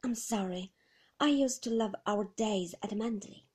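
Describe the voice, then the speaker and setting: A woman voicing a brisk, relentlessly cheerful nurse who chats in a high, bright manner. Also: slightly rough, close to an everyday voice. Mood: chirpy. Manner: bright, chirpy, chatty.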